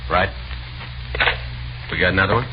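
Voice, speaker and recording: An actor playing a weary, grumbling police detective in 1950s American radio drama, speaking in a casual, colloquial way. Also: male voice, low voice, normal speed. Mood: businesslike. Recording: noisy, muffled old radio recording.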